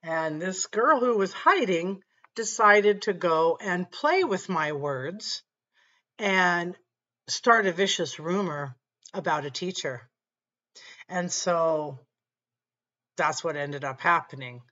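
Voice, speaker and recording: A middle-aged woman with a slightly raspy voice, telling a personal faith story earnestly to her webcam at home. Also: fairly low pitch, slow pace, sharp tone, highs cut off. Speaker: channel host. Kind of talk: faith-based personal talk to camera. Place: small room at home, indoors.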